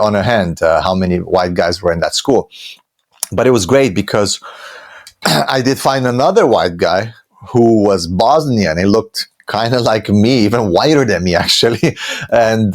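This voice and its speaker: Deep-voiced Romanian-accented man telling his story. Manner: conversational, with frequent fillers and restarts. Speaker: podcast guest. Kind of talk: personal storytelling.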